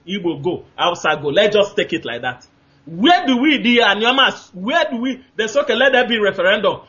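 Nigerian-accented male caller, bright tense tone, insistent and repetitive, muffled phone-line sound. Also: extremely high pitch, husky. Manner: emphatic, repetitive, gropes for names with fillers. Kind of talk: call-in radio talk.

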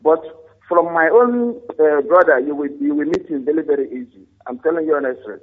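Male caller, husky and slightly high, earnest and halting, thin phone-line sound. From Enugu State, Nigeria. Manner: earnest, hesitant, filled with ums and repeats. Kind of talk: phone call to a radio show.

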